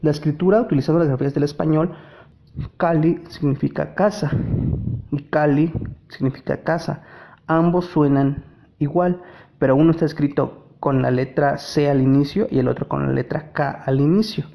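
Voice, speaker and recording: A man from Mexico whose first language is Nahuatl, reading a language lesson in a measured, deliberate way. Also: fairly high pitch, fairly even intonation, gravelly, muffled recording. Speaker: language teacher.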